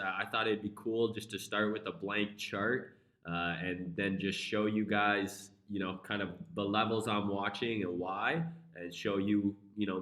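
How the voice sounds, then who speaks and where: A man's voice: lowish voice, fairly bright tone, echoey room. A young man with a flu-congested voice hosting a casual live stream, laid-back and profane as he talks through charts.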